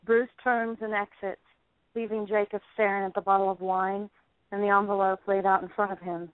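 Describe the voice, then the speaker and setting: A woman's voice: mid-range voice, flat delivery, moderate pace, no room sound. A woman reading scripted stage directions as the narrator of an audio play. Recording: phone-like lo-fi line.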